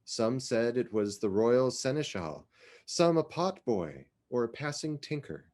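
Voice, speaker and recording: A man giving a dramatic literary reading of poetry, with measured, deliberate, theatrical phrasing. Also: medium pitch, lively intonation, darkish tone. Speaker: literary reader.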